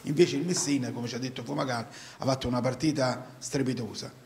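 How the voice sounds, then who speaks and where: A man's voice: mid-range voice, steady tone, fast, darkish tone. Male journalist, blunt and opinionated, echoey room, faint background hum. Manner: direct, opinionated questioning. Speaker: sports journalist. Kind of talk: press conference question.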